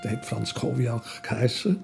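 An older man with a Swiss German accent, telling anecdotes in a casual, animated way, repeating himself as he goes. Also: medium pitch, normal speed, gravelly. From Switzerland. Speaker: interview guest. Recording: music behind the voice.

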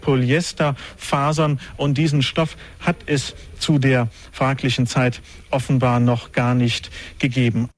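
Matter-of-fact archival radio reporter. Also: male voice, medium pitch.

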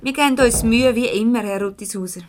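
An actress playing a brisk, conscientious office secretary with a Swiss German accent, easily exasperated, in 1970s radio comedy style. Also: female voice, on the high side, noisy background, natural voice. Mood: brisk, resolute.

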